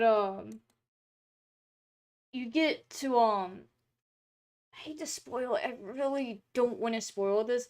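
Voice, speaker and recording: A young woman with a fairly high voice chats loosely on a stream, circling back with fillers and restarts as she talks. Her throat sounds a bit dry and hoarse. She is talking from a room at home. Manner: rambling, chatty, lots of filler words and restarts. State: throat drying, slightly hoarse. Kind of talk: casual stream chat.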